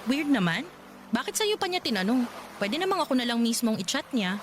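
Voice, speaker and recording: A woman's voice: fairly high pitch, very animated, brisk. Young filipina worried suspicious girlfriend, radio drama, hesitant questions, noisy background. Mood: curious, suspicious. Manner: probing, hesitant. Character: uneasy, suspicious young working woman in love.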